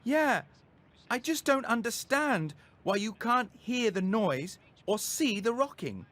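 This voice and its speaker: A man voicing an excitable, anxious and insistent young schoolboy in a children's story. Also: extremely high pitch, slow, voice pushed up, exaggerated voice. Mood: agitated, puzzled.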